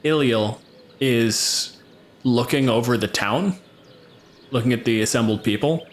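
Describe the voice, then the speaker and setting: A man's voice: mid-range voice, measured pace. A man working as game master, casually improvising narration for a tabletop game.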